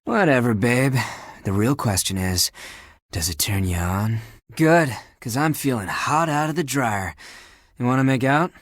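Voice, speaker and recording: A man voicing a flirty, laid-back but insecure living pile of laundry in a dating video game, talking in a casual, teasing, breathy way. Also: lowish voice, dry recording, studio quality, full character voice. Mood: sultry, flirty.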